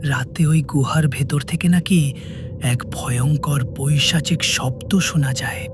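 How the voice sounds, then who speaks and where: Man playing a scared, slow-speaking old caretaker. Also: fairly high pitch, music in the background. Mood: fearful. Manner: trembling, slow warning. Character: frightened old male caretaker giving an anxious warning. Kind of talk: audio horror story acting.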